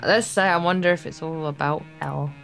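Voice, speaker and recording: Young woman reading a game aloud, lowish voice. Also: fairly even intonation, a little fast, vocal fry, a bit bright, soft background music. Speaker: let's play co-host reading a visual novel aloud. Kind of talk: reading game text aloud with casual banter.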